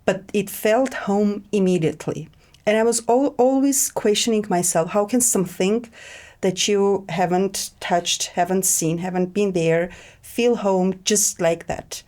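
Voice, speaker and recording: Unhurried woman hosting a podcast. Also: medium pitch, dark tone. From Armenia. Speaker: podcast host. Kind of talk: podcast interview.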